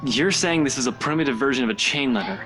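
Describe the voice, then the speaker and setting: A man's voice: medium pitch, expressive, fast, music in the background, close to an everyday voice. A man voicing a sarcastic, skeptical young man who mocks talk of curses and ghosts. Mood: scoffing. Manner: mocking, dismissive.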